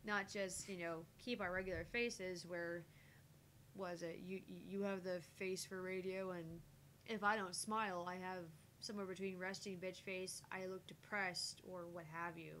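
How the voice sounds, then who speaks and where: A woman's voice: steady tone, normal speed, fairly bright tone. A woman co-hosting a livestream, talking casually in a frank, self-deprecating way. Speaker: livestream co-host of a gaming channel. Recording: noisy mic with low rumble.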